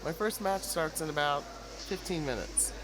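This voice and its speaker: A man's voice: fairly high pitch, measured pace, natural voice. A man playing an enthusiastic, caring gamer and shopkeeper in an audio drama, casual and teasing. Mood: warm enthusiasm. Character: enthusiastic, caring gamer shop owner friend. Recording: noisy background ambience.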